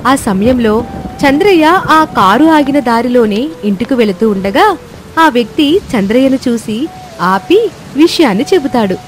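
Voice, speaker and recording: A woman's voice: on the high side, lively intonation, unhurried, a little hiss or hum. A woman reading scripted narration for a moral storybook tale.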